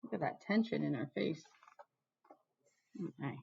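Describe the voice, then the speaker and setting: A woman teacher reading a storybook aloud to students in an animated, emphatic way, with casual asides. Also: sits low, a little slow, vocal fry, breathy edge, muffled recording.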